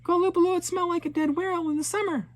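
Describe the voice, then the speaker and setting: A man voicing a bold, boastful young girl in a high, eager voice. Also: a little fast. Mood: shouting. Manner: high, loud and excited, cheeky.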